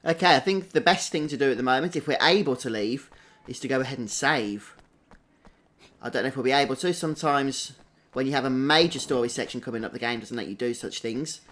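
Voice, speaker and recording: A man's voice: mid-range voice, fairly quick, a bit bright. A man giving casual, chatty gameplay commentary, thinking aloud as a streamer doing a walkthrough.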